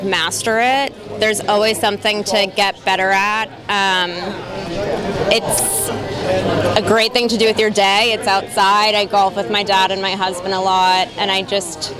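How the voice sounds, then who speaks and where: A woman answering interview questions hesitantly, with frequent pauses and fillers. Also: mid-range voice, fairly even intonation. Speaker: actress guest. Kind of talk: casual carpet interview. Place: outdoor premiere carpet at a venue. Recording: busy crowd behind.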